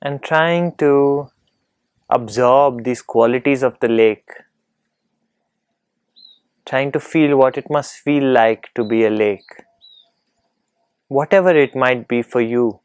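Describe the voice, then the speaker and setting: A man leading a guided meditation in a calm, gentle, unhurried manner, leaving long pauses between phrases. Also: medium pitch, clear, resonant tone, no room sound.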